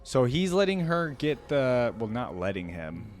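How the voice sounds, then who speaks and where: A man's voice: mid-range voice, very animated, a little slow, slight background noise. A man hosting a reaction video, commenting informally and enthusiastically as he thinks aloud.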